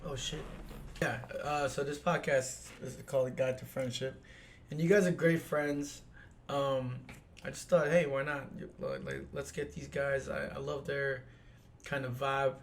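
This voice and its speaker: A man hosting a casual podcast, his voice a bit hoarse as if it is giving out, sitting in the middle of the range for a man. He talks loosely, jokes around and swears freely. A faint hiss sits behind him. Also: mid-range voice, steady tone, unhurried. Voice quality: hoarse, clears throat. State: losing his voice. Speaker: podcast host. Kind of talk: casual chat.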